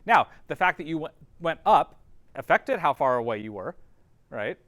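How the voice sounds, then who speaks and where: Male instructor, higher-than-average pitch, crisp and dry sound, informal classroom explaining with frequent check-in tags. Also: big pitch swings, moderate pace, fairly bright tone, dry recording. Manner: informal, checks understanding with short tag questions. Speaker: teacher. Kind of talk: classroom lesson, explaining step by step.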